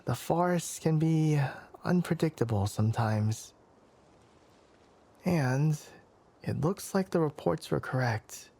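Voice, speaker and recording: A man playing a young, scholarly forest ranger with animal ears in an ASMR roleplay, courteous and measured, with a soft, breathy voice. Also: mid-range voice. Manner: measured, hesitant, courteous, bookish phrasing. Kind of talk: ASMR roleplay, soft character acting addressed to listener.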